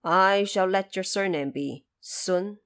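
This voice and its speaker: A woman voicing a stern, wise old male patriarch in an audio drama, commanding and deliberate as he lectures. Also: mid-range voice, smooth. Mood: pleased, instructive.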